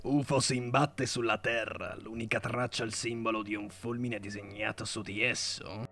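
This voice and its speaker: Guy doing a gravelly bossy cartoon villain boss, scheming and irritable, noisy background. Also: on the low side, steady tone, normal speed, close to an everyday voice. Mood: alarmed, scheming. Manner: domineering, irritable. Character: bossy, scheming villainous male tycoon of middle age. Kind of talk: children's cartoon acting.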